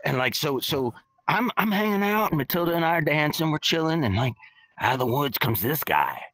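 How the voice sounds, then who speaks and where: A man's voice: on the high side, very animated, fairly quick, fairly bright tone, no echo, heavily acted. A man doing a laid-back skeleton rocker. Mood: chill. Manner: drawling slacker dude, says "man" constantly. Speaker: bassist and singer in the guest band. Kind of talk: in-character storytelling. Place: virtual-world podcast, dry sound.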